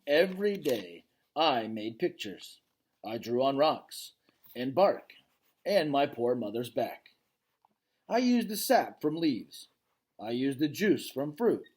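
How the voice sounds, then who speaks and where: A man's voice: medium pitch, darkish tone, slightly rough, full character voice. Man as a reflective, weary storybook gorilla. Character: weary, reluctant adult male silverback gorilla, gentle.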